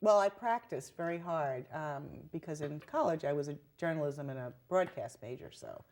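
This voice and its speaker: An older woman from New York, a guest artist telling stories of her life in a relaxed, chatty interview. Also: low-pitched, normal speed. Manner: chatty storyteller, animated quoting. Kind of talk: conversational interview.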